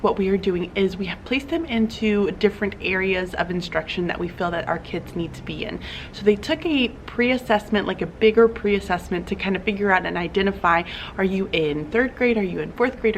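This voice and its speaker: A woman's voice: medium pitch, poor audio. Woman, young, teacher vlog, chatty, upbeat, classroom, faint background noise. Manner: chatty, upbeat, lots of fillers. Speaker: teacher vlogger.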